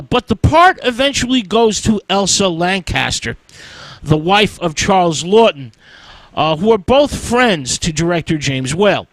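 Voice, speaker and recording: A man talks through film history on a radio show, pausing often on "uh" as he gathers his thoughts. His voice sits high for a man and swings widely in pitch. He speaks at an unhurried pace, close to a dry studio microphone. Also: fairly bright tone, no room sound, low-quality recording. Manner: frequent "uh" fillers, halting delivery. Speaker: radio host.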